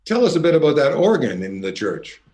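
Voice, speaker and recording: A man hosting a concert, introducing performers and interviewing them in a measured, courteous presenter's manner. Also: on the high side, very animated, a little mellow. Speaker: concert host.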